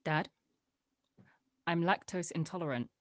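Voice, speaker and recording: A guarded young daughter played by a woman with a slightly low voice, recorded without any room echo. She is dry, blunt and sardonic, in the manner of a drama script read. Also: a little slow, close to an everyday voice. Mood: flat.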